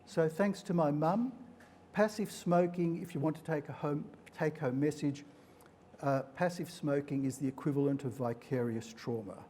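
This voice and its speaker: A man's voice: on the high side, normal speed, mellow, slight background noise. A man whose mother tongue is Hungarian, a clinician giving a live presentation in an explanatory, didactic manner. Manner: explanatory, didactic, speaks in metaphors. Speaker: clinician presenting at a mental health forum.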